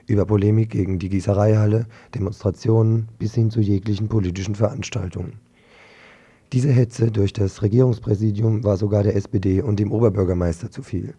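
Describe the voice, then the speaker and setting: Very dark-voiced, husky man reading news. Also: on the low side, dull audio. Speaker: radio news presenter. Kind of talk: scripted political radio report.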